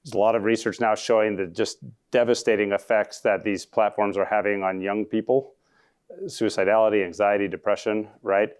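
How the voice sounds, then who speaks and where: A man with a deep voice, a law professor answering audience questions at a talk in an earnest, conversational way that often backs up and corrects itself. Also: level tone, normal speed. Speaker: law professor, panel speaker.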